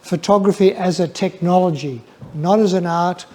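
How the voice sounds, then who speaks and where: An older Australian man giving an informal public talk, reflective and hesitant, often stammering over the starts of phrases in a flat, dull voice. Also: high voice, normal speed. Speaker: guest speaker, former museum photography curator.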